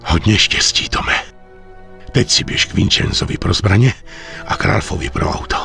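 A man playing a stern aging mafia boss in a video game, deliberate and commanding. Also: low voice, creaky, bright, forceful tone, faint music behind, full character voice. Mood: grave, commanding. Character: stern aging male mafia boss giving grave orders. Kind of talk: dubbed video game cutscene acting.

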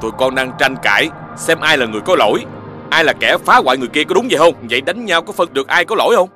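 Cartoon animal elder, kindly peacemaker, instructive, quick and animated,. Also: male voice, on the high side, soft background music. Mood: patient, instructive. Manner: instructive, reasoning with questions. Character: kindly adult animal elder settling children's quarrels. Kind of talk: cartoon dubbing.

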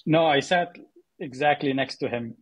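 A man from Syria speaking as a podcast guest in a conversational, halting way, repeating words as he thinks. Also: mid-range voice, breathy edge, no room sound. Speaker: podcast guest, AI startup co-founder. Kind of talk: conversational interview storytelling.

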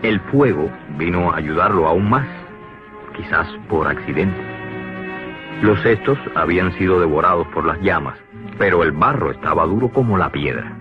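Deep, expressive male educational narrator. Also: normal speed. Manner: didactic, explanatory. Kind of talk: scripted educational cartoon narration. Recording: dull lo-fi old cartoon soundtrack with music. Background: clear background music.

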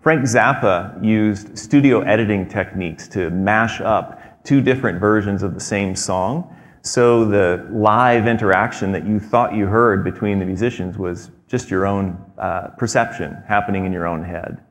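A man in his thirties giving a prepared talk on a theater stage, measured and explanatory, with frequent fillers. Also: lowish voice. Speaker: presenter. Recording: long hall reverb.

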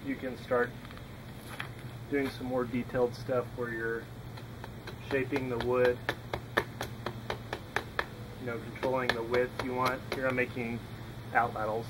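A young man explaining hatchet woodworking in a relaxed, unhurried way while chopping outdoors in a yard, recorded on the camera. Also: medium pitch, heavy background noise. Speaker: woodworking instructor. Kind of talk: casual how-to demonstration.

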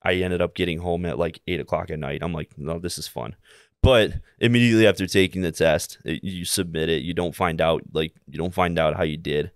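A man in his twenties with an American accent, telling stories casually in a halting, repetitive way as an interview guest. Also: low-pitched, fairly even intonation, no room sound, studio quality. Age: 20s. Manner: halting, rambling, repeats himself while telling anecdotes. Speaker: podcast guest, air traffic controller. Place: small indoor room, seated. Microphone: close podcast mic on boom arm.

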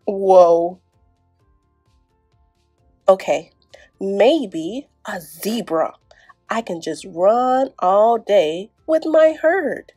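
A man's voice: high voice, animated, drawn-out words, pauses often, darkish tone, no echo, studio quality, faint music behind, full character voice. A woman reading a picture book as a bored, imaginative little boy, musing and exclaiming dramatically. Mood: excited daydreaming. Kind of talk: picture book read-aloud.

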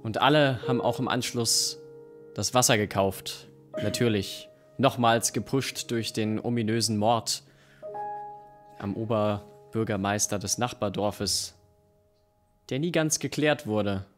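A young man narrating an improvised audio drama as a storyteller, in deliberate phrases. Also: fairly low pitch. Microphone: stand microphone at a table. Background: live plucked guitar music.

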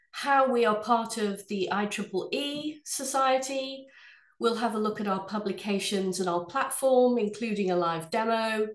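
English woman giving a polished sales pitch. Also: roomy sound. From England. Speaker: head of sales presenting a webinar. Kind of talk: scripted product presentation. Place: her office.

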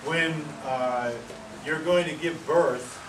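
A man from Maine giving a casual talk outdoors, with many fillers and pauses. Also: a little high. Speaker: presenter. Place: outdoor pavilion among palm trees. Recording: roomy, distant, noisy outdoor sound.

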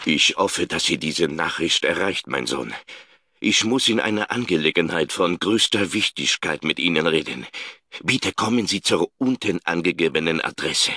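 An actor playing a solemn, measured old excommunicated priest in a video game, grave and quietly vengeful. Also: male voice, low voice, animated, no room sound, clean studio sound, heavily acted. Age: older. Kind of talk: scripted video game dialogue.

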